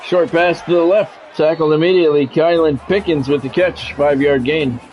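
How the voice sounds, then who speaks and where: An American man doing live play-by-play of a video game football match in a measured announcer style, mixed with casual chat to stream viewers. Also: a little high, fairly even intonation, a little hiss or hum. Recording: dull lo-fi sound with music behind.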